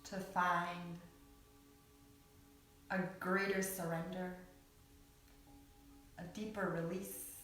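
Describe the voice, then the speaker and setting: A woman guiding a yoga nidra meditation in a soothing, unhurried way, with long pauses between short phrases. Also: lowish voice, flat delivery, a bit bright. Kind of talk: guided meditation. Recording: echoey, distant mic with background music.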